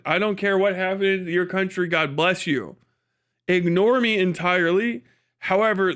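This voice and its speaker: Higher-voiced American man, profane livestreamer. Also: fairly bright tone. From United States. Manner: profane, irreverent, joking; breaks often into chuckles. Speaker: livestreamer and podcast host. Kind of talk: casual live commentary, off the cuff.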